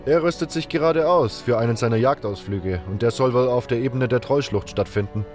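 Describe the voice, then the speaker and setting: A man's voice: mid-range voice, fairly quick, a little mellow, faint music behind, lightly acted. A man voicing a friendly hunter of the wilds in an audio drama, speaking in a casual, curious way. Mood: friendly advice. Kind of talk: fan audio drama dialogue.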